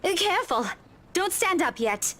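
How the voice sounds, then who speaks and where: An actress playing an alarmed, bossy young friend, urgent and commanding, in a visual novel game. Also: female voice, extremely high pitch, big pitch swings, a little slow, bright, forceful tone, heavily acted.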